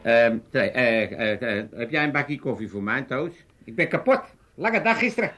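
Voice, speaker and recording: A man's voice: medium pitch, very animated, moderate pace, fairly bright tone. Cheeky old Amsterdam father, swooping voice. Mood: weary. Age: older. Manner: hesitant, roundabout storytelling. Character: old father, chatty, cheeky, easygoing gambler. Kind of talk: 1980s comic radio play acting.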